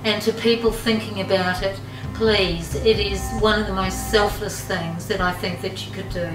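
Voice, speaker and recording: A woman's voice: medium pitch, steady tone, moderate pace, reverberant, far from the mic, music in the background, poor audio. An older woman giving heartfelt, pleading testimony in an interview. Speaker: interviewee, transplant recipient. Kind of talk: earnest testimony.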